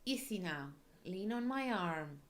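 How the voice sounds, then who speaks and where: Woman playing a worried, gentle, slow-spoken priest. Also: mid-range voice, animated, a little hiss or hum. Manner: concerned, gentle, questioning. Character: kindly, worried male priest in a visual novel.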